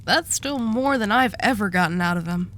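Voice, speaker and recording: A woman playing a commanding, sharp-tongued mafia boss in an audio roleplay drama, dry, curt and wry. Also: medium pitch, expressive, normal speed, slight background noise, natural voice. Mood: exasperated, teasing.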